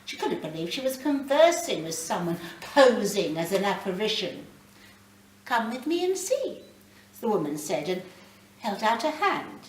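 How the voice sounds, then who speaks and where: A British woman reading a short story aloud in a dramatic, deliberate way. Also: mid-range voice, big pitch swings. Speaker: story reader. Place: live reading in a library. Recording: roomy, distant mic, faint background noise.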